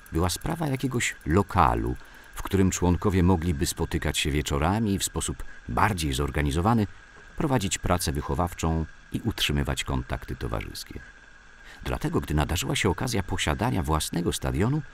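A man's voice: rumbling low, creaky, slight background noise. A man reads scripted memoirs as a documentary narrator. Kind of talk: scripted documentary narration of sports-club recollections.

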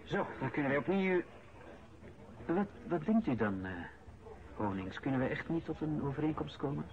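An actor playing a calculating incoming director in a radio play, measured, formal and insinuating. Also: male voice, a little high, expressive. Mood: quietly pressuring. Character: calculating, smooth-talking male incoming director pressuring a colleague. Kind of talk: radio drama acting, conversational. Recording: muffled old radio recording, noisy background.

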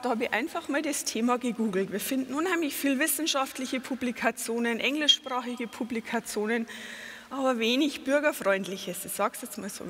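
A middle-aged woman from Lower Bavaria with a Bavarian accent, a patient advocate giving a conference talk on a headset mic, earnest and explanatory, with many fillers and self-corrections. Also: fairly bright tone, big-room sound, a little hiss or hum. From Lower Bavaria, Germany.

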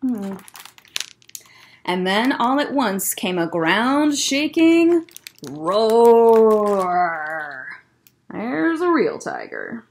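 A young woman reading a children's picture book aloud as a librarian storyteller, expressive and deliberate. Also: on the high side. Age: young adult. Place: indoor library room.

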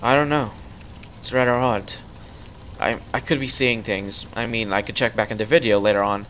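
A man hosting a casual co-op gaming session, calmly guiding his partner through the level. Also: lowish voice, normal speed, slight background noise. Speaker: channel host playing co-op.

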